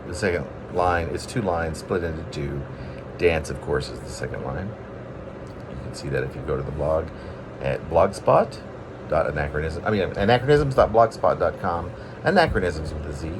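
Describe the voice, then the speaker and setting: Man, poetry reading, very lively intonation, chatty self-deprecating asides, playfully dramatic, noticeable background hum. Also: extremely low pitch, moderate pace. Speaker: poet reading own work.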